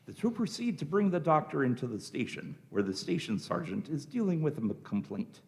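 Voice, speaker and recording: A man's voice: a little high, sing-song, a little fast, darkish tone. A man reading scripted narration for a radio play, setting each scene as the narrator.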